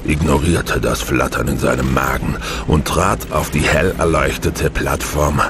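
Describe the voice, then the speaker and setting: A man's voice: very deep voice, fairly even intonation, a little slow, very noisy. A man narrating a science fiction audio drama in a dramatic, scripted style. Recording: music behind the narration.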